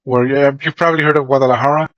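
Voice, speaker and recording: A man's voice: medium pitch, steady tone, rapid-fire, no echo, lo-fi sound. A man from Mexico, a real estate agent on a sales call, talking hesitantly and restarting his words.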